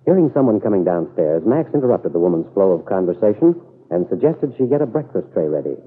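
A tinny old radio recording carries a low male announcer narrating a serial. His formal storytelling has a husky grain and plenty of rise and fall. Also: big pitch swings, fast.

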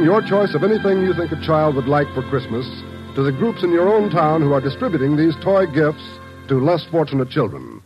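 Vintage male radio announcer over music. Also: on the high side. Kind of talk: formal 1940s-50s radio announcing. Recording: dull vintage radio recording.

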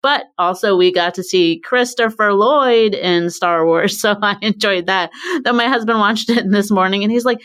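A woman's voice: medium pitch, normal speed, a bit bright, clean tone. A woman co-hosting a podcast in casual chat, giggly and often laughing as she talks. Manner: giggly, breaks into laughs mid-sentence. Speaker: podcast co-host. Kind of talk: casual panel chat about a TV show.

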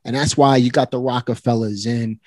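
A forty-year-old man talks in a relaxed, motivating way, speaking right to his listeners. His voice sits at an ordinary middle pitch and is recorded dry and close with no room sound. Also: moderate pace, no echo. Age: 40s. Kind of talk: casual conversation.

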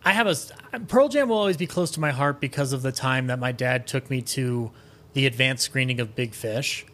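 A man's voice: medium pitch, a little fast, a bit bright. Enthusiastic, rambling man in casual chat. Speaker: podcast guest.

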